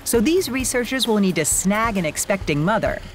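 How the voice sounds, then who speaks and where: A woman reading scripted documentary narration in a lively, playful way. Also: mid-range voice, normal speed, slight background noise. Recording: voice-over with music bed.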